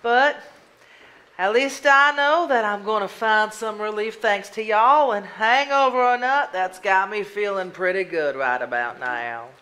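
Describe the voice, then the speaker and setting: A woman's voice: medium pitch, measured pace, pushed and bright. A woman playing a weary, candid Southern wife in a stage drama, with a Southern US accent. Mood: weary relief. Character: weary, relieved Southern wife escaping bad marriage. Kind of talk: live stage acting. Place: theater stage before audience.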